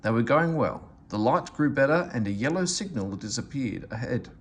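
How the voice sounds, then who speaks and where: A man reading a children's story aloud in an expressive, animated way. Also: medium pitch, normal speed, slight background noise. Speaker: storybook reader.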